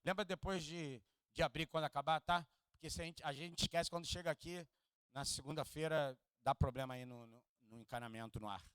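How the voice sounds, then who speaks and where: Rio pastor guy preaching, kinda high voice, goes quiet then loud, jokes w the crowd, dry close sound. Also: fairly quick, smooth, no echo. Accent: Carioca Portuguese. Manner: swings from hushed, low asides to loud, high preaching peaks; jokes with the congregation and asks them to repeat lines. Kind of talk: sermon. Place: church hall.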